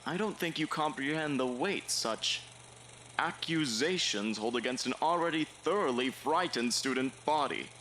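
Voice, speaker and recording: A man plays a stern academy headmaster in an audio drama, his bright, forceful voice swinging widely in pitch. He scolds formally and scornfully, over noticeable background noise. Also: expressive, normal speed. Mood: stern reproach.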